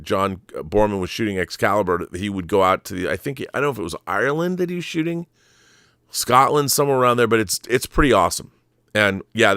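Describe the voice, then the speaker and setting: A middle-aged man with a fairly low, clean and resonant voice, recorded close and dry. He hosts with casual, enthusiastic film commentary, wandering off on tangents and circling back. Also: pushed and bright, no echo. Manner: enthusiastic, rambling, digressive. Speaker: show host.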